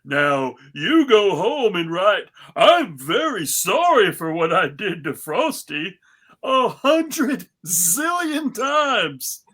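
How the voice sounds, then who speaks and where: A man playing a stern but kindly Santa Claus, scolding with theatrical, drawn-out emphasis. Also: high-pitched, sing-song, sharp tone, exaggerated voice.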